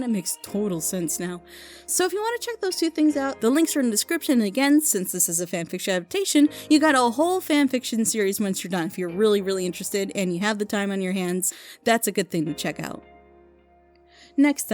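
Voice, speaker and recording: Female video host, higher voice, informal and enthusiastic recommendations, loosely delivered, faint music underneath. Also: a little mellow. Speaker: video host recommending fan audio dramas. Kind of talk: casual unscripted review.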